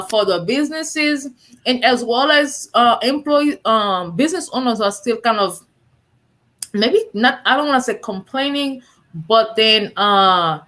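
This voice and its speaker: Woman show host, thirties, bright tone, easy chatty delivery. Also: a little high. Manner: warm, chatty, many fillers. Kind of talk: live online talk show.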